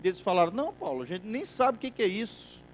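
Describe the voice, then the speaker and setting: A man with a Brazilian Portuguese accent preaching a sermon as pastor, asking his congregation for "amém" and swinging between loud emphatic bursts and quiet stretches. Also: high voice, big pitch swings, fast, a little hiss or hum. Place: church.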